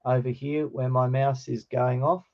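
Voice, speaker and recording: A middle-aged man with a slightly croaky voice, teaching an informal online lesson in a hesitant, explanatory way. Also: mid-range voice, little pitch movement, a little slow. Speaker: teacher of New Testament Greek. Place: online video call with screen share. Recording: dull, lo-fi online call.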